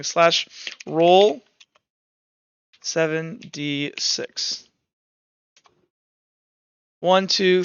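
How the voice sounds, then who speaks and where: A man's voice: high voice, a bit bright, clean tone, dry recording, dull audio. A man improvising narration as game master of a tabletop game, casually thinking aloud as he tallies dice rolls.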